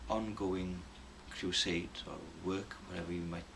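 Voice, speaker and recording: A man's voice: low-pitched, fairly even intonation, unhurried. A man who works as a Welsh language tutor, giving thoughtful interview answers with frequent ums and restarts. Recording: noisy background hiss.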